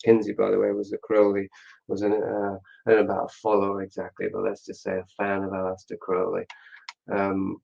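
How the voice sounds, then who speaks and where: A man speaking as an interview guest, analytical and deliberate, often pausing to correct himself, on slightly muffled audio. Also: on the low side, completely flat delivery, gravelly, darkish tone. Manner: measured, deliberate, hesitant, self-correcting. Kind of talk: unscripted analytical discussion.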